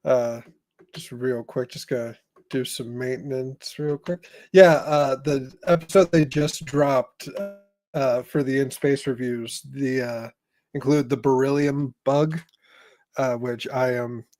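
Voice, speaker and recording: A man hosting a casual fan podcast, speaking informally and a little haltingly. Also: medium pitch, steady tone, a little mellow. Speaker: podcast host.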